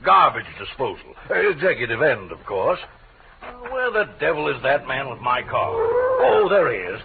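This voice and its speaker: An actor playing a blustering, self-satisfied older crooked boss in a 1940s radio drama, on a narrow, noisy broadcast. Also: male voice, fairly high pitch, wildly swooping pitch, moderate pace, vocal fry, full character voice. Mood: smug, jovial.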